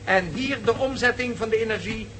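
A man's voice: sits high, brisk, noisy background, close to an everyday voice. Een man die in een hoorspel een bevlogen, vastberaden professor speelt, formeel en nadrukkelijk declamerend. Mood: solemn, declaiming. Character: impassioned, determined male professor championing a revolutionary theory. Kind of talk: Dutch radio play acting.

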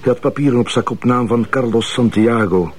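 An actor playing a dutiful police officer in a TV crime drama, reporting in a matter-of-fact way with a Flemish accent. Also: male voice, medium pitch, fairly quick, a little mellow, husky, close to an everyday voice. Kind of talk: naturalistic TV crime drama acting.

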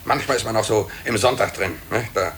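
A man's voice: on the low side, a little fast, a bit bright. An actor playing a breezy, sporty younger male office colleague with a chatty, colloquial manner in a 1950s German radio play. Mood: brisk, upbeat. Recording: faintly noisy old cassette radio copy.

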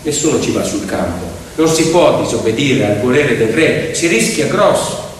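A man telling a story theatrically, emphatic and full of pauses. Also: medium pitch, sing-song, a little hiss or hum. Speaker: stage actor and storyteller. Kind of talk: theatrical storytelling to piano accompaniment. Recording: echoey hall, distant mic. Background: piano music.

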